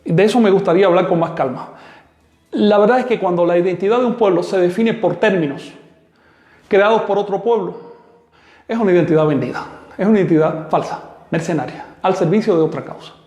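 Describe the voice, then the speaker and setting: A man's voice: sits high, fairly quick, a little mellow, lo-fi sound. Firm man lecturing, echoey room. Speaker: teacher. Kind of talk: live online class answering questions. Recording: echoey room with long reverb.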